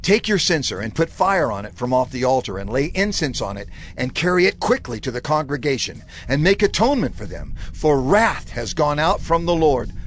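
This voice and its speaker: An actor playing Moses as an urgent leader giving commands in a scripture audio drama. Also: male voice, on the high side, sing-song, moderate pace, hardly any pauses, slight background noise. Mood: urgent, alarmed.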